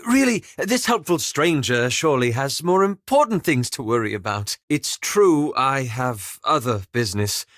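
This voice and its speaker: A man playing courteous bookish adventurer, lively melodic range, close dry sound, formal diction, cautious, video game dialogue. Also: mid-range voice, no room sound, close to an everyday voice. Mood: awkward, apologetic. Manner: formal, measured, polite, hesitant diction.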